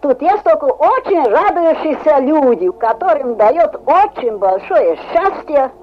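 A high, lively woman in her seventies with a Hungarian accent tells her stories in halting speech and laughs often. She sounds tinny and narrow, as on an old radio recording. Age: 70s. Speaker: interviewee, amateur skater. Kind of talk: radio interview.

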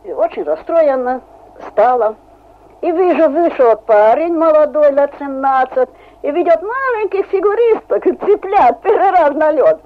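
A woman in her seventies from Hungary, with a Hungarian accent, telling lively stories in a halting, laughing way in a radio interview. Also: high-pitched. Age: 70s. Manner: lively storytelling, halting speech, laughs often. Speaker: interviewee, amateur skater. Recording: tinny phone-like old radio recording.

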